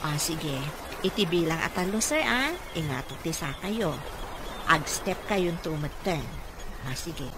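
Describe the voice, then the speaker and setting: A woman's voice: low voice, animated, unhurried. Dutiful ilocano caregiver in a radio drama, takes her time, noisy background. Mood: coaching, encouraging. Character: dutiful, encouraging young woman caregiver to a wheelchair-bound boss. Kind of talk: Filipino radio comedy-drama acting.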